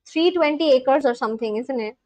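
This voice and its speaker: A young woman from India speaks in a Delhi-accented English, her voice sitting high and bright in pitch. She talks casually and chattily to her livestream audience, reading their comments aloud and tossing in quick apologies. The sound is dry, with no room echo. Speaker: live streamer. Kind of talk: casual livestream chat with viewers.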